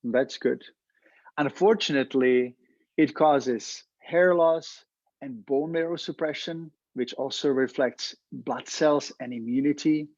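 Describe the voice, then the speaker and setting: A man with a Czech accent talks through a veterinary topic in an explanatory way, now and then repeating himself as he finds his words. His voice sits at an ordinary middle pitch. The recording is dry and a little dull. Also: slow pace, a little mellow, no room sound. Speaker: veterinarian host. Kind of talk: educational talk.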